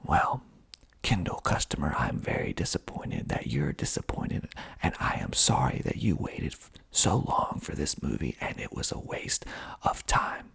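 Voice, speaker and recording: A man whispers in an ASMR reading style, very breathy and mostly without voice. His delivery is slow and deliberate, with a crackle of fry when the voice surfaces. He adds dry, deadpan asides in the same hushed tone. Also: very deep voice. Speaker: filmmaker and actor hosting an ASMR video.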